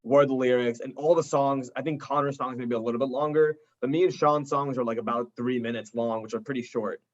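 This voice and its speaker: A man's voice: medium pitch, steady tone, fast talker, muffled recording. A young man in a band chatting casually in an interview, informal and enthusiastic, sprinkling his talk with "like". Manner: enthusiastic, informal, heavy use of "like". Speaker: indie rock band member being interviewed. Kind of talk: casual chat.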